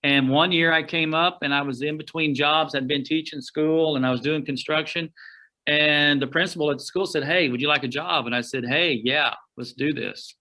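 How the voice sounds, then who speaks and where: A middle-aged man from Oklahoma talks over a remote call, his voice bright and placed a little high. He rambles through his stories in a folksy way, dropping in "uh" and starting sentences over. It comes across as easy, unhurried conversation. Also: steady tone, poor audio. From Oklahoma, USA. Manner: rambling and folksy, frequent "uh" and restarts. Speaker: guest, outdoor writer. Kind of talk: casual storytelling interview.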